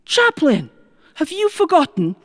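Lady storyteller doing a plain spoken prison guy, halting n earnest, voice moves up and down a lot. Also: high-pitched, huge pitch swings, no room sound, clean studio sound, pitched above the performer's own voice, exaggerated voice. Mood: insistent, pleased. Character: male prison inmates, plain-spoken, sentimental grown men.

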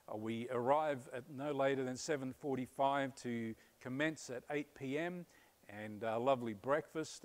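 An Australian man in his fifties speaks as a pastor, earnest and upbeat, often pausing on "uh". His voice sits at a middle pitch. He is recorded on a lapel mic while standing on a church stage. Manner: earnest, upbeat, many "uh" fillers. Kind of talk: church announcement video. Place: church stage before a curtain.

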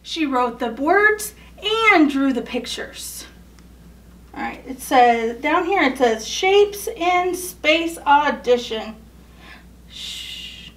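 A woman's voice: sits high, lively intonation, takes it slowly, a bit bright, far from the mic. A young woman reading a children's picture book aloud, explaining as she goes and asking questions she then answers. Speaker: storytime reader. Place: indoors in front of a photo backdrop.

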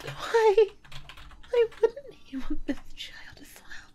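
A voice actress playing an obsessive, desperate, unravelling woman in a dramatic ASMR audio roleplay, muttering haltingly to herself. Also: extremely high pitch, sing-song, warm tone, full character voice. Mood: distressed, then muttering, hushed. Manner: halting, muttering to herself, swinging between whispers and outbursts.

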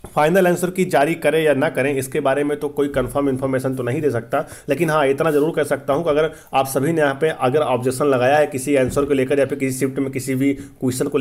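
A man's voice: mid-range voice, a little mellow. A man hosting an explainer for students, repeating points emphatically and often checking that listeners follow. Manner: repetitive, emphatic explaining with frequent check-in tags. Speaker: host of exam-update channel.

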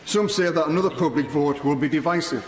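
A middle-aged man delivers a prepared speech to a large debating chamber. His voice sits slightly high and stays earnest and measured. The room adds a roomy echo and a long reverb tail. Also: a crowd in the background. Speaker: member of parliament.

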